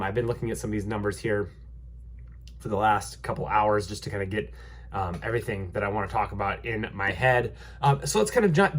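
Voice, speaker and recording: Stuffy-nosed man giving a real estate update. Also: medium pitch. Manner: rambling, many ums and restarts, upbeat reassuring. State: stuffy nose from a cold. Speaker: realtor giving a market update. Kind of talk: casual vlog market commentary.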